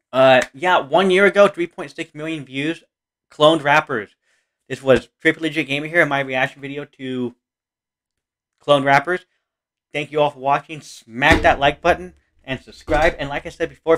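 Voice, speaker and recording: An American man in his thirties giving casual reaction commentary in a halting, repetitive, earnest way. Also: mid-range voice, a bit bright. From Oregon, United States. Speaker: reaction video host. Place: small room indoors.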